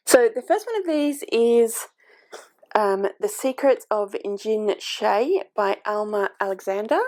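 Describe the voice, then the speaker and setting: Woman, 40s, casual home vlogger, gravelly edge, mid pitch, chatty and rambling. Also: darkish tone. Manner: chatty, rambling, with frequent ums and self-corrections. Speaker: book YouTuber. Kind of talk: casual booktube chat. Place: home, with a cat on the microphone. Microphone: desk microphone.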